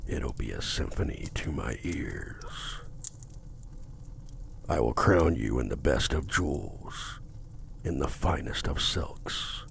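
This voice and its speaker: An extremely deep, heavily gravelly male demon, acted by a man for an ASMR roleplay, drawing out his words slowly. He is smug, possessive and menacing, with a formal, seductive way of bargaining. Faint noise sits behind the voice. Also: animated, heavily acted. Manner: slow, deliberate, formal, domineering. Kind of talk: intimate ASMR roleplay acting.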